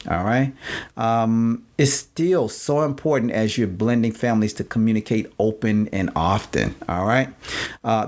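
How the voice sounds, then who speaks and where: A man hosting a podcast, giving casual advice, with many fillers and "all right?" check-ins, his voice going hoarse. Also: on the low side, darkish tone. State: losing his voice. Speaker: podcast host.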